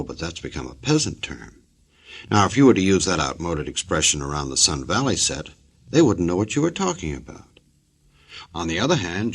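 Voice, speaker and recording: A man with an American accent reading scripted old-time radio introductions in a husky, folksy, tongue-in-cheek way. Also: deep voice. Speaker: radio show announcer.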